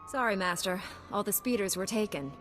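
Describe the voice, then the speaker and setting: An actress playing a resolute young Jedi knight in a video game, earnest and direct. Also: female voice, medium pitch, a little fast, fairly bright tone, faint music behind, close to an everyday voice.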